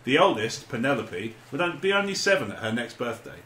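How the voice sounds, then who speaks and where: A man's voice: medium pitch, big pitch swings, brisk, sharp tone. A man co-hosting a comedy podcast, chatting and reading aloud in an amused, mock-serious, sweary way. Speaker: podcast co-host. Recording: roomy echo with background noise.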